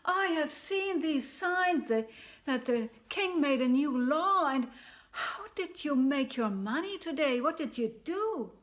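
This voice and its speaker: A woman's voice: high-pitched, expressive, takes it slowly, fairly bright tone, voice pushed up, heavily acted. A woman voicing a curious king in a fairy tale, who keeps asking probing, doubtful questions. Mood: inquisitive.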